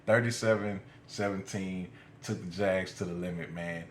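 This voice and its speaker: A slightly hoarse, raspy man giving casual, colloquial post-game football commentary as a fan vlogger. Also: deep voice, fairly even intonation, normal speed. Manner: colloquial, often punctuates with "man", chuckles. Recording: roomy echo, light background noise.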